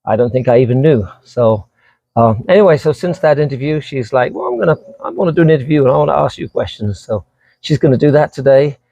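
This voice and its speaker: A man's voice: medium pitch, expressive, fast talker, creaky, warm tone, no room sound, muffled recording. A middle-aged man from England with a British accent tinged slightly with American, chatting casually and unscripted as he is interviewed. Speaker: vlogger being interviewed.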